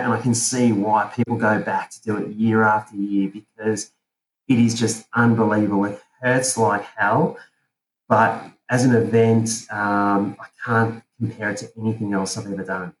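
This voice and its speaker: A man from South Africa, a podcast guest talking casually and hesitantly, with many fillers and restarts. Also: on the low side. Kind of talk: casual interview chat. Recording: roomy with faint ambient noise.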